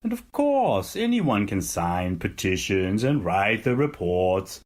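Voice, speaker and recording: An actor playing an evasive, self-important male president in an audio drama, with a pompous, dismissive way of speaking and a husky voice. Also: on the low side, sing-song, slow pace, heavily acted. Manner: pompous, dismissive politician's oratory. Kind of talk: audio drama acting, political interview.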